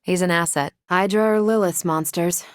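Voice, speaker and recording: A woman's voice: lowish voice, normal speed, no echo, studio quality, lightly acted. An American woman voicing a confident, wry young superhero leader in a video game, with breezy, sardonic delivery. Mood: dry, teasing.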